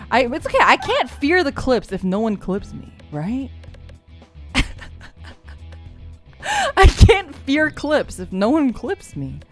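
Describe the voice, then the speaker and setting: Woman streamer, casual game chat, rambling and giggly, very lively pitch, faint music behind. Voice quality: lower voice for a woman. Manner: rambling, giggly, self-deprecating, lots of filler words. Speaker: VTuber streamer.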